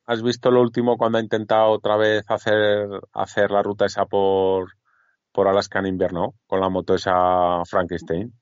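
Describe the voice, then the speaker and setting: Low-voiced man, chatty. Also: little pitch movement, normal speed, smooth, no room sound. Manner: chatty, repeats words, many fillers and restarts. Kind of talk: casual chat. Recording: dry, dull lo-fi sound.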